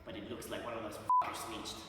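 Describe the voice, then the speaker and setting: A man's voice: fairly low pitch, little pitch movement, quick pace, heavy background noise, natural voice. A young man playing a crude streetwise ex-con. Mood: gruff. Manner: swaggering, swears casually, drawls "man". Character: streetwise male ex-con drug dealer, tough and crude. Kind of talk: student amateur video acting. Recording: echoey room, far from the mic, with music.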